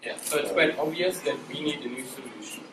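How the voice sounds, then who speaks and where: A young man from Germany pitches an idea with upbeat energy. He sounds distant and echoey, with noise in the background. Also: fairly quick. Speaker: student presenter. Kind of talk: presentation.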